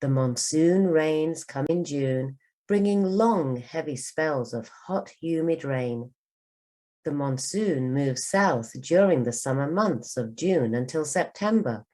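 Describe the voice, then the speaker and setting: A woman reading a children's storybook aloud for learners, as a teacher does, with careful, expressive delivery. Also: deep voice, slow. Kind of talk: scripted storybook narration for learners.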